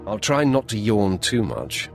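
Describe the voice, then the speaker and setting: A man's voice: lowish voice, big pitch swings, a little slow, vocal fry, soft background music, heavily acted. A male actor playing a ruthless, sardonic Sith warrior in a video game, with a cold and menacing manner. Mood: dry arrogance. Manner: cold, menacing, dryly arrogant. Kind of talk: video game dramatic acting.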